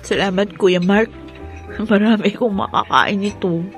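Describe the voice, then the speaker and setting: A woman's voice: medium pitch, moderate pace, soft background music, lightly acted. An actress playing a long-suffering, pleading young working mother in a radio drama, with a Filipino accent. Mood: meek, defensive.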